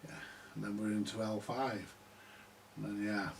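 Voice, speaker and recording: A man giving halting, unscripted commentary at a workbench, muttering to himself as he works things out. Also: fairly low pitch, flat delivery, vocal fry. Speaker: vintage radio repair hobbyist. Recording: distant mic with background hiss.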